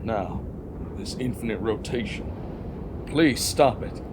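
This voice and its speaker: A man's voice: fairly low pitch, slow. A man playing a grave, calculating president in an anime fan dub, a villain speaking in a formal, persuasive manner, bargaining for his life. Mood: solemn, persuasive. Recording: background noise behind voice.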